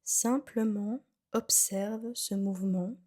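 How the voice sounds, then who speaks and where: A woman guiding a relaxation session as a yoga nidra instructor, soothing and unhurried, with phrases that trail off softly. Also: medium pitch, steady tone. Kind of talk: guided meditation, calm instruction.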